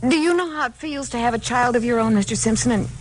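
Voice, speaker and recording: Hesitant, earnest American wife played by an actress, noisy recording. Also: female voice, a little high, quick pace, lightly acted. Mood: sorrowful, hesitant. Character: soft-spoken, hesitant married woman pleading her sorrowful case. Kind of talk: 1950s radio drama acting. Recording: noisy 1950s radio recording.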